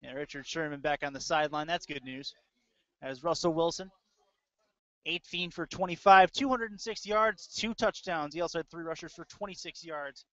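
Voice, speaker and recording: A male announcer gives live play-by-play of a football game. His voice sits a little high for a man. The sound is close and dry, with a dull, lo-fi web stream quality. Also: moderate pace, sharp tone, no room sound.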